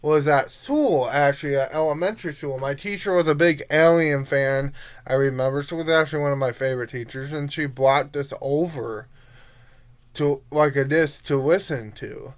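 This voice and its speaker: A young adult giving a casual review monologue in a rambling, informal way, halting and letting thoughts trail off. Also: mid-range voice. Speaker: amateur reviewer.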